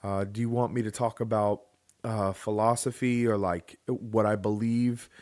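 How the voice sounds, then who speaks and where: A man's voice: on the low side, fairly even intonation, moderate pace, a little mellow. Rambling casual male podcast host. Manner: rambling, many fillers and restarts. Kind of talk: casual chat.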